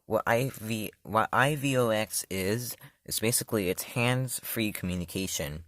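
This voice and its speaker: A man's voice: fairly low pitch, moderate pace, a bit bright, dry recording. A man casually demoing a product, talking loosely with many fillers and self-corrections. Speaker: product reviewer. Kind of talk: casual hands-on demo.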